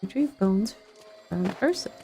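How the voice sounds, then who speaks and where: A woman's voice: mid-range voice, animated, a little slow, warm tone, soft background music. A woman doing casual, playful video game commentary, wryly talking to herself as she plays.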